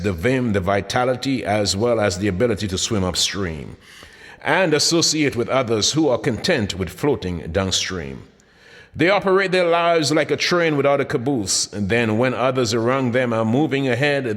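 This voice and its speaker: A man reading his own motivational audiobook in an emphatic, deliberate style with dramatic pauses. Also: lowish voice, a bit bright. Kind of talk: scripted motivational audiobook narration. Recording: long hall-like reverb.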